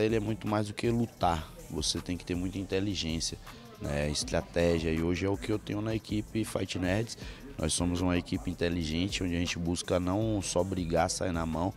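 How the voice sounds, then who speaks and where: A man's voice: sits low, darkish tone. A young man from Bahia, Brazil, a fighter talking in a casual interview in front of a press backdrop on a handheld mic. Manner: confident, hypes himself up. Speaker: MMA fighter. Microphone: handheld mic held by interviewer.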